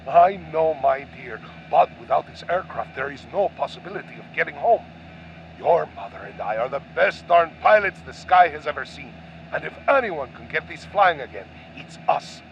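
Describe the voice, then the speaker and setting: A man's voice: lively intonation, moderate pace. A man playing a brave, devoted father and pilot in a radio play, earnest, dramatic and tender. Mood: tender, resolute. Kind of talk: audio drama acting. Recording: muffled, faint vehicle rumble.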